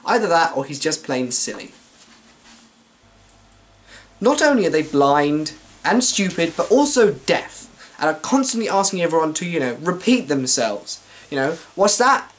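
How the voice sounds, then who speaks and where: A man's voice: a little high, sing-song, moderate pace, pauses often. A British man delivering a wordy, wry scripted comic monologue as a vlogger to his viewers.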